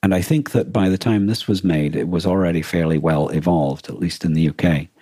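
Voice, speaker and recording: A man co-hosting a podcast in casual discussion, with a husky voice and a hesitant way of restarting his phrases mid-thought. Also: low-pitched, fairly quick, dark tone, dry recording. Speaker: podcast co-host.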